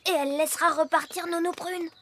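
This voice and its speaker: A woman's voice: very high voice, steady tone, brisk, noisy background. A woman voicing an eager, friendly young elf child in a French-dubbed children's cartoon, earnest and childlike. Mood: concerned. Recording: music behind.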